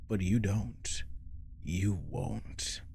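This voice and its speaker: Casting: male actor as a manipulative captive werewolf for ASMR drama, deep and unhurried, coaxing and possessive, with menace under the tease. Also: steady tone, vocal fry, dark tone, a little hiss or hum, exaggerated voice. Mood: coaxing, threatening. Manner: slow, coaxing, teasing, often dropping to whisper. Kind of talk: ASMR audio roleplay acting. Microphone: close microphone.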